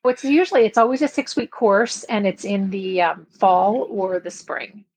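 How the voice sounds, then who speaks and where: Middle-aged female podcast guest, conversational, mid pitch with a husky grain. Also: normal speed, rough recording. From grew up in Belgium. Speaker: podcast guest, publishing expert. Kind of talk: casual interview chat.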